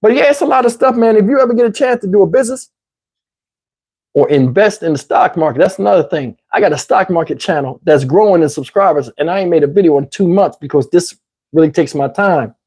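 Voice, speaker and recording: A man's voice: high voice, animated, fast, a little mellow, husky. A man with a Southern US accent hosting a casual livestream, rambling conversationally, his voice tiring and his throat parched. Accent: Southern US English. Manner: rambling, conversational, asks "you know what I'm saying". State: voice getting tired, throat parched. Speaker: YouTube commentary host. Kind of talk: casual livestream talk to viewers.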